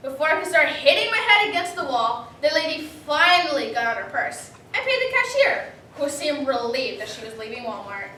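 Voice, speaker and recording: A young woman performing a comic monologue for reader's theater in an animated, dramatic way, recorded from a distance in an echoey room. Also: high-pitched, normal speed, few pauses. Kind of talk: staged personal comic monologue. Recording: echoey room, distant mic, faint noise.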